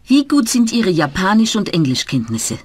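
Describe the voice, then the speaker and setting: A woman's voice: mid-range voice, sing-song, moderate pace, husky, no echo. A woman voicing a polite, businesslike travel-agency clerk answering the phone in a scripted language-course dialogue.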